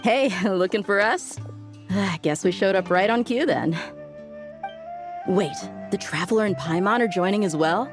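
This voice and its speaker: A woman's voice: medium pitch, measured pace, over music, close to an everyday voice. A woman voicing a confident female mercenary with a breezy, teasing way of talking in an anime game dub.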